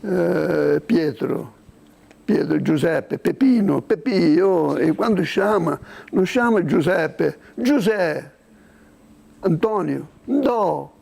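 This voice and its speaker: High-pitched, gravelly man. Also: lively intonation, takes it slowly, darkish tone. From Italy. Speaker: interview guest. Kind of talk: conversational explanation of culture and history.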